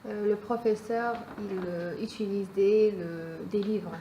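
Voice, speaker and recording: A woman's voice: mid-range voice, slow pace, dark tone, a little hiss or hum, low-quality recording. A woman in her twenties from France, giving short plain interview answers with occasional fillers. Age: 20s.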